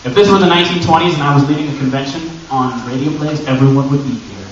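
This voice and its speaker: An American man giving an informal live panel talk to a convention audience, animated and conversational, with self-deprecating jokes. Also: medium pitch, fast talker. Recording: echoey, dull, noisy room from far off.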